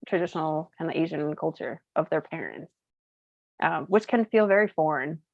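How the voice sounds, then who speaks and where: A chatty woman in her thirties from Colorado, with Cantonese as her first language, telling her story with plenty of "like" and "um". Her voice sits a little low for a woman. The sound is dry and slightly dull, like a modest home setup. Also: lively intonation, moderate pace, gravelly, no echo. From United States (Colorado). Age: 30s. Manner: chatty, uses many fillers and laughs easily. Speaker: interview guest, entrepreneur. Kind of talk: casual chat, storytelling.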